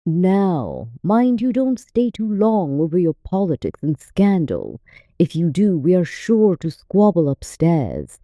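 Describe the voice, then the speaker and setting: A woman's voice: lowish voice, big pitch swings, takes it slowly, mellow, no room sound. A woman voicing a gushing, sociable old English society lady in an audiobook. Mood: bossy, cheerful. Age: older. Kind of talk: audiobook character voice.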